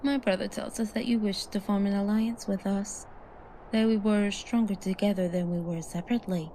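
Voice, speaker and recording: A woman's voice: mid-range voice, steady tone, moderate pace, mellow, slight background noise, close to an everyday voice, low-quality recording. A woman playing a proud, candid elven lady in an ASMR fantasy roleplay, speaking formally and frankly. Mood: candid, conceding.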